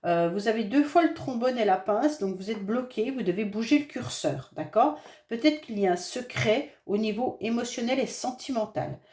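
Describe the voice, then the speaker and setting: A woman's voice: on the high side, lively intonation, normal speed, a little mellow, reverberant. A French-accented woman with a head cold giving a chatty, reassuring monthly tarot reading, full of hesitations and fillers. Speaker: tarot card reader. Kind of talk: monthly astrology card reading.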